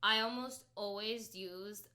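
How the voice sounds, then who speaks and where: A woman's voice: medium pitch, fairly even intonation, slow pace, bright, forceful tone. A woman from Florida, a podcast co-host, chatting casually in a group and laughing often. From Florida, United States.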